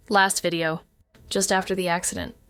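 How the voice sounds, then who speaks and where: A woman's voice: lowish voice, a bit bright, smooth, no echo. An actress playing a wounded, avoidant woman, narrating a noir audio drama in an introspective, brooding way. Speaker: narrating character.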